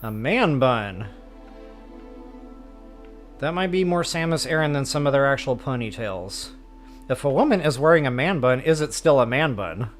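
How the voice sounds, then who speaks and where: A man's voice: mid-range voice, lively intonation, moderate pace, long pauses, faint music behind. A man hosting a game stream, chatting casually to viewers in an informal, self-deprecating, rambling way. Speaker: host of a video game walkthrough stream.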